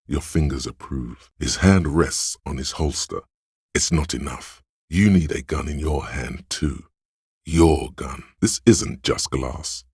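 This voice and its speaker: An actor voicing a man's brooding, tactile inner voice in a game, narrating with husky gravel in a deliberate, dramatic, literary manner. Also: extremely low pitch, a little mellow, no room sound, clean studio sound, full character voice. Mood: grave, measured.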